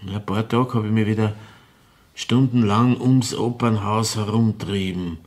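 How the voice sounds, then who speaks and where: An actor playing a bitter, woman-hating killer in a crime radio drama, confessing deliberately in Viennese dialect. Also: lowish voice, steady tone, darkish tone, natural voice. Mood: matter-of-fact, cold. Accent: Viennese Austrian. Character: confessing opera-house murderer, brooding, bitter woman-hater in his thirties.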